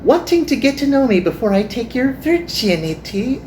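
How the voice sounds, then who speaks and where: A man's voice: very high voice, big pitch swings, moderate pace, a little mellow, voice pushed up. Guy doing a flirty bossy anime magical woman, drawls her taunts, condescending, echoey with some noise behind. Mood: mocking. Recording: roomy echo, some background noise.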